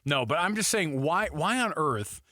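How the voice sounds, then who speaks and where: A man's voice: a little high, animated, normal speed, pushed and bright, dry recording, studio quality. A man with an American accent co-hosting sports talk radio, emphatic and conversational.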